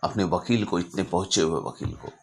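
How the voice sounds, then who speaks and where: A man narrating stories informally as an astrologer, speaking deliberately in short phrases broken by pauses. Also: low-pitched, darkish tone. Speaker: astrologer telling client stories.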